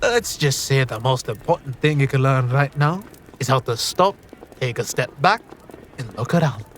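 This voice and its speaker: An actor playing a seasoned, wry gambler mentor in a fantasy audio drama, colloquial and instructive. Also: male voice, mid-range voice, lively intonation, moderate pace, a little hiss or hum, natural voice. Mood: serious, mentoring.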